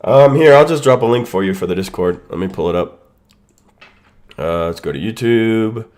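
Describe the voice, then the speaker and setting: A man's voice: on the low side, lively intonation, moderate pace, a little mellow. A man hosting a live stream, talking casually to his chat and greeting people by name while he sets up, with a voice congested from a sinus infection. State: sick, congested voice. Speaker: streamer hosting a watch party. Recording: echo from unmuted stream audio.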